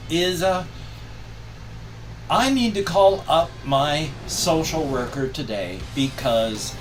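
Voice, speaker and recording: An older man talking in a casual personal vlog, rambling and stressing his words emphatically. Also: medium pitch. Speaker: video creator. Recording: distant mic, music behind. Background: music.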